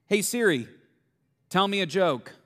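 A pastor in his forties preaching a sermon, energetic and joking, full of rhetorical questions. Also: sits high, unhurried. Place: large church auditorium. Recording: echoey church hall.

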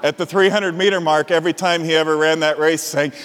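A man's voice: on the high side, quick pace. A middle-aged male pastor preaching a sermon, telling a personal story with frequent chuckles. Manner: animated storyteller, chuckles often. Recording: reverberant hall.

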